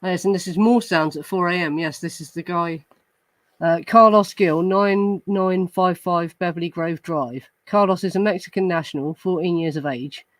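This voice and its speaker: A man's voice: high-pitched, normal speed, dry recording. Chatty man thinking aloud on a podcast. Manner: chatty, thinking aloud with many fillers and restarts; reads police report passages aloud. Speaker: true crime podcast host. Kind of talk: casual chat reacting to live comments.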